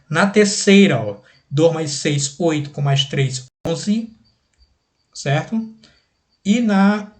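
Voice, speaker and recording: Man, Brazilian accent, fairly high pitch, teacher, didactic step-by-step explanation, repeats steps, frequent check-in tags. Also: darkish tone. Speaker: chemistry teacher. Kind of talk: recorded lesson, working through exercises step by step.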